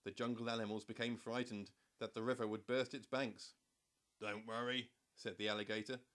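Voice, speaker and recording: Casting: male children's audiobook narrator, mid-pitched voice, measured and expressive storytelling delivery.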